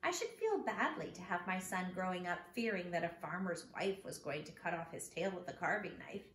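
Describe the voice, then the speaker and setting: A woman reading the part of a proper, fretful father in a children's storybook, earnest and deliberate. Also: on the low side, expressive, a bit bright. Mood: worried. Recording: echoey room with background noise.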